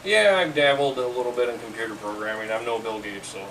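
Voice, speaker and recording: Bright-voiced man in his thirties, matter-of-fact. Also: medium pitch, fast talker. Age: 30s. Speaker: tech guy. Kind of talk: casual interview answers. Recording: distant mic with faint noise.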